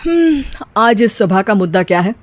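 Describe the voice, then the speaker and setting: A woman's voice: mid-range voice, very animated, normal speed, voice pushed down, close to an everyday voice. A woman voicing a sly, mocking husband in a cartoon. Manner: mocking, wry.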